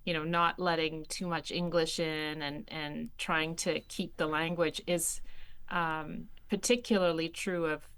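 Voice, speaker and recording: A woman's voice: deep voice, flat delivery, measured pace, fairly bright tone, clear, resonant tone. A woman from Canada speaking as a roundtable guest, chatty and full of "like"s. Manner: chatty, many "like"s. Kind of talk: roundtable discussion.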